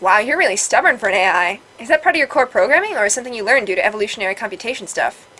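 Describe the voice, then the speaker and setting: A woman's voice: a little high, lively intonation, very fast, pushed and bright. A woman voicing a curious, cheeky young adventurer, reading visual novel dialogue in a casual, teasing way over a noisy recording.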